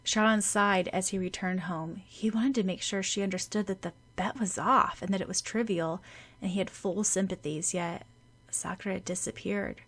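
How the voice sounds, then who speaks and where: A woman narrates a story as an audiobook reader. Her voice is bright and clear-edged, sitting at a middling pitch, with scripted prose delivered as narration. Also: fairly even intonation, normal speed. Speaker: audiobook narrator of fan fiction.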